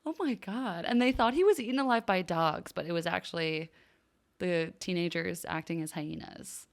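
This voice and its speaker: A woman's voice: lowish voice, normal speed, creaky. Woman on a recap podcast, gushy reactions, super casual. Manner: effusive reactions, gushing. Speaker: podcast co-host.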